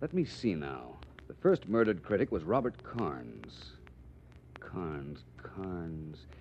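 An actor playing a suave, pensive amateur detective in a 1940s radio drama, urbane and dryly witty, on a dull vintage recording. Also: male voice, low voice, very animated, takes it slowly, a little hiss or hum, exaggerated voice. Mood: musing, urbane.

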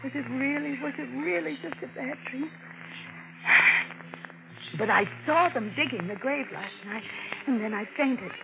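A distraught, fearful wife in a vintage suspense radio play. She speaks haltingly and breathlessly, stumbling over words. The recording is muffled, with heavy old radio noise. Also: sits high, vocal fry. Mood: fearful, secretive. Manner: halting, breathless, stammering delivery. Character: frightened, distraught young wife suspecting murder. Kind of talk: 1940s radio drama acting.

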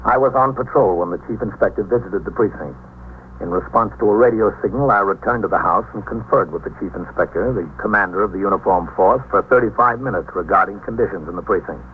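Muffled old-radio American police captain, measured man acting. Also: fairly low pitch, natural voice. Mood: matter-of-fact. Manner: measured, matter-of-fact. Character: steady, authoritative male police precinct captain. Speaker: narrator and lead character. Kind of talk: 1950s radio police drama narration. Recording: muffled, noisy 1950s radio recording.

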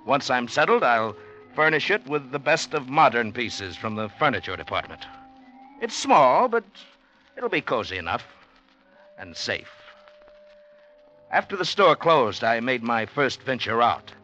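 Intense, forceful radio-drama poet. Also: male voice, medium pitch, sing-song, a little slow, long pauses, lo-fi sound. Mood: exultant, confiding. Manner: theatrical, intense, declamatory. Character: penniless male poet fleeing the world, exultant, secretive. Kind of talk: 1940s radio drama acting, first-person narration. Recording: old 1940s radio broadcast, music behind.

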